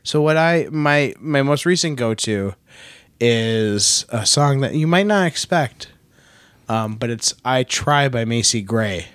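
A man co-hosting a casual podcast on a cruise, talking in a relaxed, hesitant, self-deprecating way. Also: medium pitch, slow, smooth, dry recording. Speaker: podcast co-host. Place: aboard a cruise ship.

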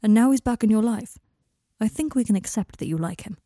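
A patient male therapist read by a woman in an audiobook scene. He is mild, measured and reassuring, with a mellow tone and a close, dry sound. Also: on the high side, animated, no room sound. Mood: gently probing. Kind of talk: audiobook character dialogue.

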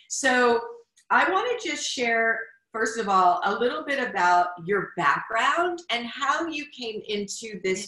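Middle-aged woman podcast host, effusive, bright tone, roomy echo. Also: mid-range voice. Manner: effusive, warm praise with laughs. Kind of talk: casual interview chat. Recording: echoey room.

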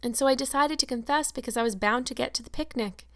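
A woman reading an audiobook voices an excitable, imaginative young girl with gushing, breathless enthusiasm. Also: high voice, fast, pitched above the performer's own voice. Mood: weary.

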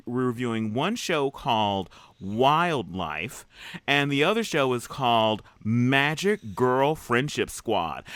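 A young man co-hosting a casual TV review show, excitable and quick with asides, laughing often. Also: mid-range voice, dry recording. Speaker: podcast co-host.

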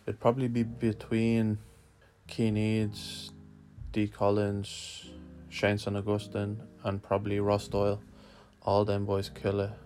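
A man's voice: on the low side, monotone, slow, mellow, faint music behind. A young man from Lithuania, a guest skater answering a questionnaire in a laid-back, slangy way with some swearing. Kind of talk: casual spoken answers.

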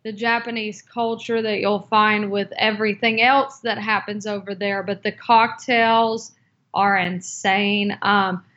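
A woman's voice: a little high, a bit bright. A woman answering interview questions about cocktails and her book, speaking hesitantly with many fillers and restarts. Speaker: guest, cocktail book author. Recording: dull lo-fi audio.

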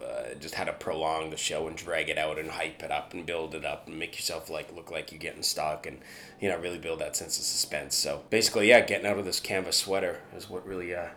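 Deep-voiced man talking shop animatedly. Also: quick pace, slight background noise. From Canada. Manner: animated, builds to emphatic points with repeats. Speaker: street performer and escape artist. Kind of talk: relaxed conversational interview.

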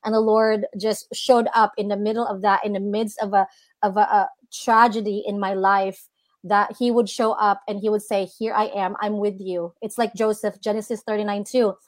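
A woman's voice: mid-range voice, little pitch movement, moderate pace, no room sound. Earnest woman, dry sound. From Philippines. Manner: earnest, heavy use of "like" and "you know". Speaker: guest minister. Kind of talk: casual personal testimony.

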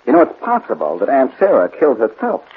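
An actor playing a cocky, brisk, wisecracking American amateur detective in a 1940s radio drama. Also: male voice, medium pitch, wildly swooping pitch, vocal fry. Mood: probing. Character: cocky quick-witted male amateur detective, needling the police. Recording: muffled 1940s radio broadcast, noisy.

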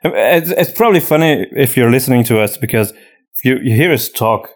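A man from Flanders with a Flemish accent, a native Dutch speaker, explaining things haltingly in casual chat as a podcast host answering listener questions. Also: medium pitch, animated. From Flanders, Belgium.

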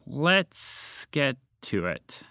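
A man voicing a helpful, wry female garter snake, speaking in a drawn-out, sardonic, teasing way. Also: mid-range voice, very animated, gravelly, pushed and bright, no room sound, studio quality, exaggerated voice.

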